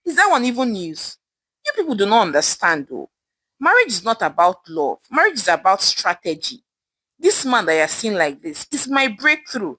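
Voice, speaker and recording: A woman's voice: mid-range voice, very animated, normal speed, bright, forceful tone, no echo. A woman voicing a proud, scornful young gold digger in a folktale, boastful and dismissive, with a Nigerian accent. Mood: haughty.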